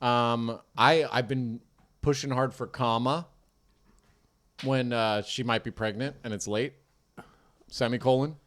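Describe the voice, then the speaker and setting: A man's voice: mid-range voice, steady tone, measured pace, long pauses. A man co-hosting a comedy podcast in casual chat, crude, teasing and given to mock indignation. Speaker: podcast co-host.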